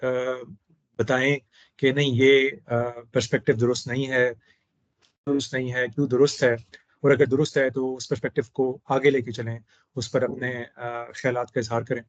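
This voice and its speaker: A slightly hoarse man hosts a relaxed discussion at a middling pitch, choosing his words in a deliberate, formal way. The sound is dull and lo-fi, and dry with no room echo. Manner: measured, formal phrasing. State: hoarse throat. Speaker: host. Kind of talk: casual discussion.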